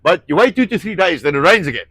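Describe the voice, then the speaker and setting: A man with a South African Afrikaans accent and a fairly high-pitched voice talks outdoors about his ranch work. He often stalls on words, filling gaps with "uh" and "you know" and restarting his sentences, and he swears offhandedly. The sound is dry and close. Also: big pitch swings, quick pace, a bit bright, dry recording. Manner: searches for words, frequent fillers and restarts, swears casually. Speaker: ranch owner and show host. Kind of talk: casual outdoor project talk.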